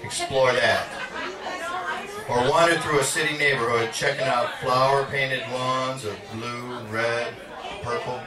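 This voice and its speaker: A man reading poetry aloud at a live reading in a measured, dramatic spoken-word delivery. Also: mid-range voice, fairly even intonation, a loud crowd. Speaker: poet. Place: indoor literary cafe reading. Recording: echoey, distant live recording with audience. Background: audience sounds.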